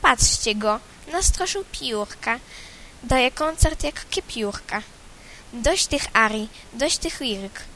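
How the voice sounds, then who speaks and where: A woman's voice: fairly high pitch, lively intonation, sharp tone, a little hiss or hum, full character voice, rough recording. A woman doing a fussy chirping sparrow. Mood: scornful. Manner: quick chirping, scornful.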